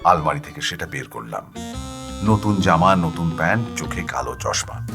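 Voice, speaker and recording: A man narrating a first-person story in a dramatic, animated and expressive manner. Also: rumbling low, normal speed, music in the background.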